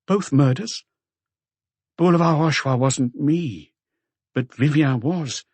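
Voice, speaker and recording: A man's voice: fairly high pitch, slow pace, a little mellow, husky, no echo, pitched above the performer's own voice. A man voicing a shaken middle-aged husband confessing to murder, hesitant and subdued, in an audiobook. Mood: tense, confessing.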